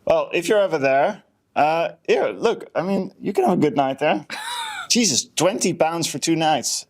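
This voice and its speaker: A man's voice: a little high, wildly swooping pitch, moderate pace. A man co-hosting a podcast, chatting casually and reading out reviews. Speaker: podcast co-host.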